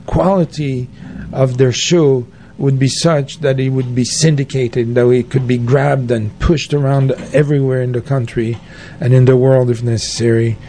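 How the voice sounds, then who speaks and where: A man being interviewed on radio, speaking off the cuff and haltingly as he searches for his words. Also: medium pitch, steady tone, warm tone, slight background noise. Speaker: guest, community radio and arts centre founder. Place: radio station.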